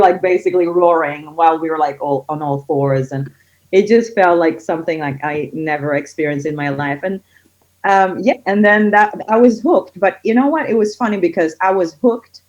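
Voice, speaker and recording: A woman's voice: lowish voice, moderate pace. A middle-aged woman from Israel, a guest who runs a kids yoga studio, chatting casually with many fillers. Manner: chatty, many fillers, gets excited when telling stories. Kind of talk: casual podcast interview. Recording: dull lo-fi audio.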